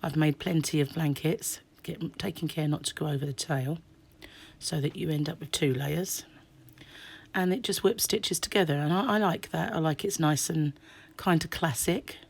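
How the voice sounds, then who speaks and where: Brief: low-pitched woman, croaky sore throat, chatty crochet teacher, drops to half-whispers while stitching. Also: moderate pace. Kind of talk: hands-on craft tutorial.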